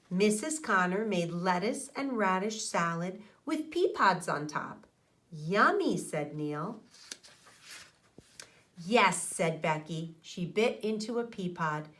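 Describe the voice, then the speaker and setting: Slow, expressive woman teacher reading aloud. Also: medium pitch, slight background noise. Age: middle-aged. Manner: expressive, addressing children directly. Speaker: elementary school teacher. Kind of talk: read-aloud lesson for young children. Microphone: microphone on a boom arm close to mouth.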